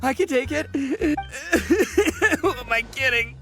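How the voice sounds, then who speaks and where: A man voicing a brash, boastful but soft-hearted young oni gang boss in a video game, swaggering and stammering when flustered. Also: extremely high pitch, expressive, slow, faint music behind, heavily acted. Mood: flustered, suffering. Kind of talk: animated video game voice acting.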